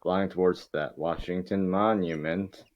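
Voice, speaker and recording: A man with a low voice reads out scripted stage directions. His reading is amateur and stumbles over the wording. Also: fairly even intonation, measured pace, darkish tone. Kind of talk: scripted narration in an amateur table read.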